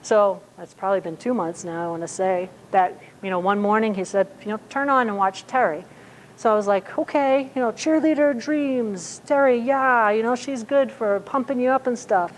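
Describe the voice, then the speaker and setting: A woman's voice: a little high, normal speed, a little hiss or hum, poor audio. Chatty woman in her fifties preaching. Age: 50s. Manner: conversational, many fillers and restarts. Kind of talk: sermon at a church service.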